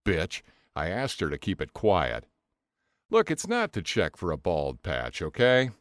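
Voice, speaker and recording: A man's voice: deep voice, wildly swooping pitch, unhurried, dry recording, studio quality, exaggerated voice. A man voicing a vain, defensive male office worker, indignant and melodramatic, in an audiobook. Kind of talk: audiobook character dialogue.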